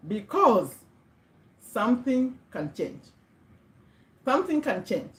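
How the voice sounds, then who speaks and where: A middle-aged Ugandan woman talks straight into a webcam, chatty and warm in pitch, repeating words to drive a point home. Her voice sits a little high for a woman. The room around her is bare and echoey. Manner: chatty, checks in with viewers, repeats words for emphasis. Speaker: livestream host. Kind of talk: casual motivational livestream.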